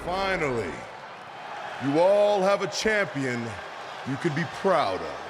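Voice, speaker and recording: An actor playing an arrogant, menacing wrestling champion who delivers boastful, deliberate threats in a video game promo. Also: male voice, on the high side, sing-song. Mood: smug, boastful. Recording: noisy arena with crowd behind.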